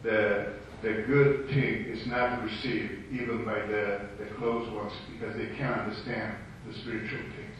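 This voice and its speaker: Romanian-accented man, slow and searching for words, distant echoey room. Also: lowish voice, little pitch movement, a little hiss or hum. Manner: hesitant, searching for English words. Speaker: participant. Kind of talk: group Bible study discussion. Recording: echoey room, far from the mic.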